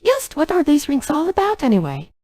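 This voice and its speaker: Woman playing young female genie, game character, high-pitched, breathy, earnest, gentle, apologetic, synthetic and stilted, lively intonation. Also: fairly quick, no echo, clean studio sound. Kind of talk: AI text-to-speech dub of game cutscenes.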